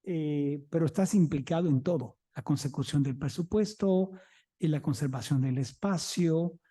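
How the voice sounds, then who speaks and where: Mexican man, museum director at a talk, mellow slightly high voice, anecdotal. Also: moderate pace, slightly rough. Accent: Mexican Spanish. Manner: chatty, anecdotal, keeps checking in with the audience. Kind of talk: conference talk.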